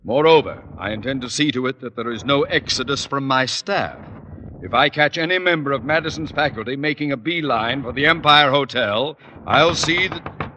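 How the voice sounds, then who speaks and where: An actor playing a pompous, sarcastic middle-aged high school principal in florid old-time radio comedy style. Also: male voice, moderate pace, bright, forceful tone, highs cut off, close to an everyday voice. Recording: noisy old radio recording.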